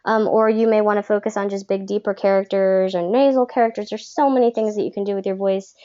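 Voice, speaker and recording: Voice coach, female, chatty and encouraging, mid pitch, lo-fi webinar sound. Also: fairly even intonation, fast talker, mellow. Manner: friendly, chatty, many fillers. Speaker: voice acting coach. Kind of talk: live webinar Q&A. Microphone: webcam call.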